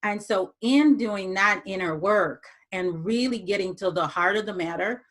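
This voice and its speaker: A woman from Jamaica speaks as a podcast guest in a mid-range voice. She talks reflectively, pausing on fillers and restarting her sentences. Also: mid-range voice, a bit bright. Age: adult, moved abroad as young teen. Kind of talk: casual interview talk.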